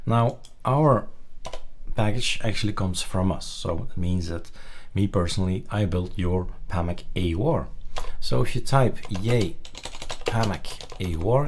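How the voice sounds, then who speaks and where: Low-voiced man giving a software tutorial. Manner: halting, thinking aloud while demonstrating. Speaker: tutorial presenter. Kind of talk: casual screen-recorded software tutorial.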